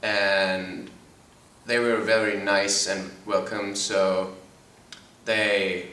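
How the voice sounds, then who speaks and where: A man's voice: lowish voice, level tone, very slow, bright, forceful tone. A young man from Germany, a college soccer player giving plain interview answers with fillers. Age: young adult. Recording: echoey room, mic far off.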